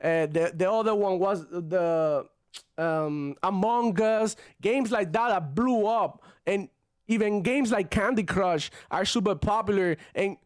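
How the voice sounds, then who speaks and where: Slangy Cuban-born man, high voice. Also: measured pace, smooth, no room sound, studio quality. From Cuba. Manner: loose, slangy, drops in Spanish phrases, many fillers. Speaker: gaming channel host reading chat. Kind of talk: casual livestream chat.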